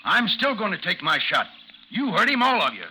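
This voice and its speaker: A man's voice: sits high, big pitch swings, normal speed, bright, forceful tone, heavily acted. A man acting in a 1950s radio drama as a cold, proud, obsessed ex-officer with a curt, commanding delivery. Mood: grimly resolved. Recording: noisy 1950s radio broadcast recording.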